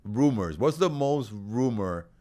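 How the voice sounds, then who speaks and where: Man, podcast interviewer, mid-pitched, very animated intonation, joking, teasing, casual. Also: slow pace. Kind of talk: casual chat.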